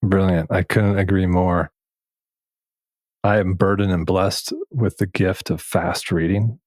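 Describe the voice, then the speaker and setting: Low-voiced man, earnest podcast host. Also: steady tone, unhurried, a little mellow, dry recording. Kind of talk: reflective conversational interview.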